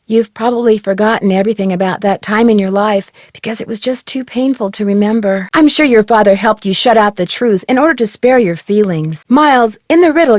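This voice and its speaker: A woman playing an inquisitive, polite young American detective in a video game, speaking earnestly and clearly. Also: on the high side, no room sound, clean studio sound, lightly acted. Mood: thoughtful, encouraging. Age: young adult. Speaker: player character in an adventure game. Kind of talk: scripted video game dialogue.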